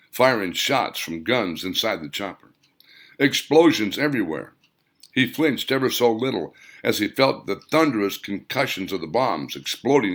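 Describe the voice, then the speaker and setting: A man narrates an audiobook in a low-pitched voice with a noticeable creak at the edges. His delivery is dramatic and emphatic, rising and falling widely as he reads his own fiction. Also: fairly bright tone. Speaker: author reading own novel.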